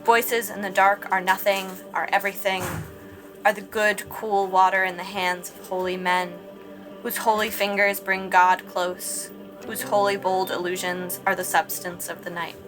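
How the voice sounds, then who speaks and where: A woman reading a poem aloud in a slow, even recital. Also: mid-range voice, music in the background, low-quality recording. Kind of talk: poetry reading.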